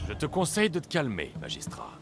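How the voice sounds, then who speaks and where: A stern male mercenary speaks in a creaky voice with very varied intonation. He sounds firm and menacing, a video game hero over clear music. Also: mid-range voice, huge pitch swings, quick pace. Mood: stern, threatening. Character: stern heroic male mercenary investigating a crime. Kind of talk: dramatic video game acting.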